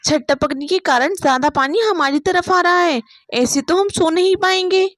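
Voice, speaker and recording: Poor elder daughter-in-law played by a woman, meek and plaintive, very high voice, dry moral-story recording. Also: normal speed, a bit bright, no echo. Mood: worried.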